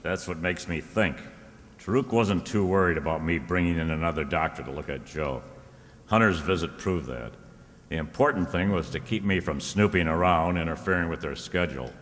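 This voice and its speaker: A hard-boiled, wisecracking male private investigator from a radio play, acted by a man. His voice is low and forceful, his talk clipped and slangy. It rings in a reverberant hall. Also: normal speed. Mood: brooding, determined. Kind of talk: old-time radio detective drama acting. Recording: reverberant hall, stage-like sound.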